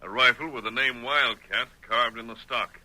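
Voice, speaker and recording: An actor playing a resolute, commanding masked cowboy hero in an old-time radio western, with measured, authoritative speech. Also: male voice, mid-range voice, fairly even intonation, sharp tone, poor audio. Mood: calm, resolute. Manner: authoritative, measured, clearly worded. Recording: vintage radio drama recording.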